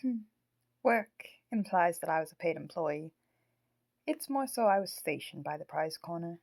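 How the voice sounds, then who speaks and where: A woman's voice: fairly low pitch, expressive, normal speed, vocal fry, darkish tone. A woman playing a polite, earnest haunted puppet in an audio drama, speaking precisely. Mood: polite, reserved. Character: Marionette, polite, anxious, proud haunted puppet.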